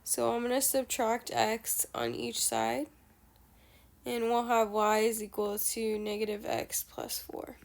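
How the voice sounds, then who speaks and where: A woman's voice: a little high, level tone, a little slow, vocal fry. A young woman math teacher with a nasal, stuffy voice, patiently explaining a lesson step by step. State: nasal from allergies.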